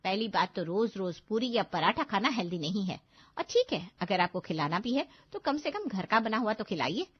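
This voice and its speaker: A woman's voice: mid-range voice, big pitch swings, normal speed, husky. A woman playing a strict, concerned schoolteacher in a cartoon, firm and lecturing. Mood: scolding. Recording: dull lo-fi audio.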